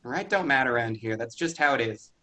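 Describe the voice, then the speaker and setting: A man's voice: medium pitch, expressive, brisk, pushed and bright. An actor reading a stubborn rural young man, curt and defensive in a staged play reading.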